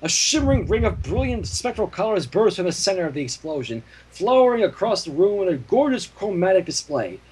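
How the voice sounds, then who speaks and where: Animated, higher-voiced man narrating dramatically. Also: a little fast, few pauses, husky, rough recording. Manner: dramatic, expressive storytelling. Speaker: fan fiction reader and narrator. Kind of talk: animated scripted story narration.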